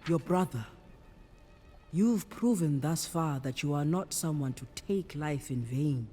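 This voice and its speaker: A woman playing a wise, commanding priestess in a fantasy game, speaking in a solemn, measured way. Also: low voice, animated, dark tone, soft background music. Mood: solemn, assured. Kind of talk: cinematic fantasy game acting. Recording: long hall-like reverb.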